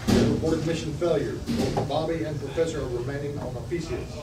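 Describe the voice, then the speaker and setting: A man's voice: a little high, steady tone, a little fast, lightly acted. A man reading the part of an anxious male spy posing as an aide, plainly and with stumbles. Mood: agitated. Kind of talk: table read of a sci-fi script. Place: restaurant dining room table. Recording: distant, echoey, noisy restaurant.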